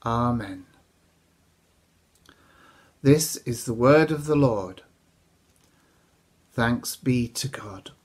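Older guy reading a bible passage out loud, average pitch, at home. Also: very slow, long pauses. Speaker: scripture reader. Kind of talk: read-aloud Bible reading. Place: small room at home.

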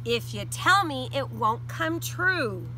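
Woman reading as a blunt big brother, high voice. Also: big pitch swings, slow pace, pushed and bright, heavily acted. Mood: firm, caring. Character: gruff big brother, annoyed but caring boy. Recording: noisy outdoor recording.

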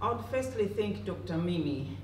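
A woman's voice: mid-range voice, lively intonation, normal speed, darkish tone. Woman, Malawian accent, emphatic public speaking, rhetorical, roomy sound, slightly distant. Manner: emphatic, rhetorical, short direct phrases. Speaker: panel speaker, community health advocate. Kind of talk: personal public speech addressing an audience. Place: conference room panel table, indoors. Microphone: handheld microphone, distant camera. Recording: echoey room, distant, faint noise.

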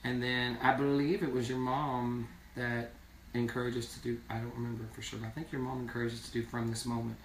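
A hoarse man in his thirties chats loosely on a live stream, wandering between stories and viewer comments. His voice sits in an echoey small room and is picked up by a phone set some distance away. A faint hiss runs underneath. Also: medium pitch, flat delivery, a little fast. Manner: chatty, rambling, reads viewer comments aloud. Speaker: singing duo member hosting a live stream. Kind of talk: casual live-stream chat. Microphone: phone camera at a distance.

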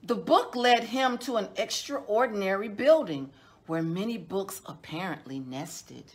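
A middle-aged woman, a children's storytime host, reading a picture book aloud in a measured, deliberate way with drawn-out words. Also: medium pitch, animated. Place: indoors, small decorated room.

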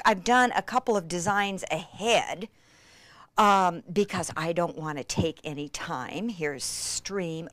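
A woman giving an enthusiastic hands-on craft demonstration, gushing and breathlessly excitable, with hushed asides. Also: medium pitch, no echo. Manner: gushing, breathlessly excited, with frequent gasps and exclamations.

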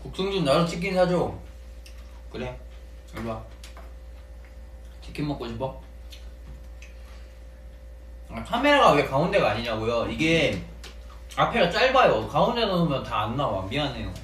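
A man's voice: medium pitch, very animated, normal speed, long pauses, a bit bright. A young man, an idol group member, casually reading out and answering fan comments while eating with bandmates. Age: young adult. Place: small indoor office room, seated at a table. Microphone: fixed camera across the table. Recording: roomy, distant mic with faint hiss.

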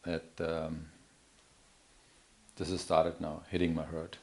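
A man's voice: very deep voice, monotone, takes it slowly. A man presenting an unscripted product demonstration in a hesitant, explanatory way. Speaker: product demonstrator, account manager. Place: indoor office or showroom.

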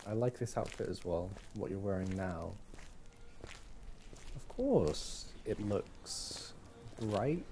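Mellow, low-voiced young man, boyfriend roleplay. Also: expressive, takes it slowly, pauses often. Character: easygoing, affectionate young boyfriend on a shopping date. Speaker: ASMR boyfriend roleplay performer. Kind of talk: ASMR boyfriend audio roleplay, casual one-sided chat. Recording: clearly audible background noise.

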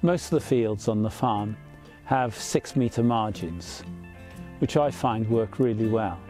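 A man in his fifties, a farmer, explaining his farm practices in a measured, plain way, outdoors in an open field. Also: lowish voice, darkish tone, faint music behind. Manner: measured, plain explanatory talk with small fillers.

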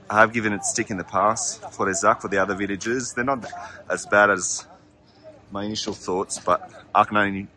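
A man's voice: fairly low pitch, sharp tone. A man, giving a frank, chatty vlog walkthrough of a ski resort, filming himself outdoors on a phone. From half French, half Australian. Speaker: ski travel agency founder, vlogger. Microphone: handheld phone at arm's length, selfie.

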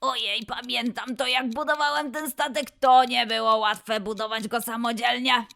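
A woman voicing a playful, excitable little boy adventurer for a children's audio quiz, with drawn-out, singsong delivery. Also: a little high, creaky. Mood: wistful, exclaiming. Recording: music under the voice.

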